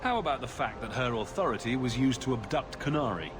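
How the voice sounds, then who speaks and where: A man's voice: medium pitch, expressive, quick pace, long reverb tail, over music, close to an everyday voice. A man voicing a wry, defiant hero in a fantasy video game, speaking directly.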